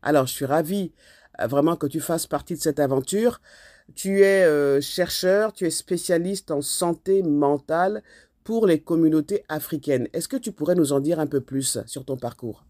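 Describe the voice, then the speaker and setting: A woman's voice: deep voice, moderate pace, mellow, dry recording, studio quality. A woman hosting a radio show, speaking in a conversational interviewing style.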